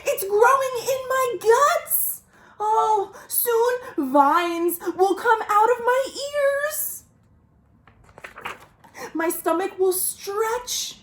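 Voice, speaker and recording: Woman acting an excitable, high-pitched storybook crocodile. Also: reverberant, heavily acted. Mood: panicked, whimpering. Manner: exaggerated, dramatic, drawn-out delivery. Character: excitable, melodramatic young male cartoon crocodile who adores watermelon. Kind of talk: children's picture book read-aloud.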